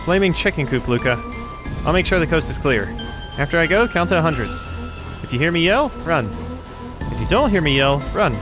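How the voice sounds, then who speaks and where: A man's voice: on the high side, normal speed, voice pushed up. A man puts on a brash young prankster with a raised, cocky voice. He charges ahead and talks big, and there is game music behind him. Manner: cocky, excitable. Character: bold brash boy, reckless prankster.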